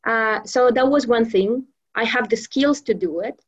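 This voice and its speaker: Female guest from Venezuela, Spanish first language, slightly high pitch, halting delivery, dull lo-fi recording. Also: slightly rough. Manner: hesitant, many fillers and restarts. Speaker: guest, professional trainer and mentor. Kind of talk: interview conversation.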